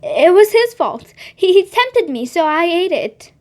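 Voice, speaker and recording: A woman's voice: very high-pitched, big pitch swings, measured pace, voice pushed up, heavily acted. A woman reading the lines of the first woman in a Bible story, earnest and worried. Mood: defensive. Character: first woman, curious and then frightened.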